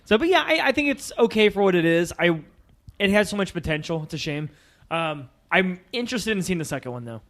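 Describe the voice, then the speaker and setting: A man's voice: high voice, big pitch swings, fairly quick, a bit bright. A man hosting a podcast in casual, chatty conversation about films. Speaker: podcast host. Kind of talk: casual film podcast chat.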